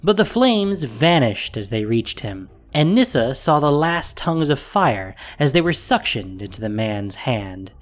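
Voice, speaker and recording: A male narrator reads scripted fantasy fiction with dramatic flair. His voice sits in a middle register and moves widely in pitch, following the action. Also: big pitch swings, unhurried, few pauses.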